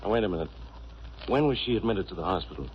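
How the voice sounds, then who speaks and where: Blustering radio police inspector, muffled recording. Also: male voice, low voice, quick pace. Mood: businesslike. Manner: blustering, sarcastic. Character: gruff, blustering, suspicious male police inspector. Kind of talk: 1940s radio detective drama acting. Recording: muffled old radio recording, steady hiss.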